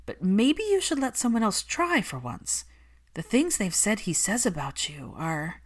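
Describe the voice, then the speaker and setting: A woman's voice: medium pitch, very animated, a little slow, pitched above the performer's own voice. Woman acting an earnest, caring woman healer. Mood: concerned, pressing. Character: Padma, concerned, caring woman healer of werewolves.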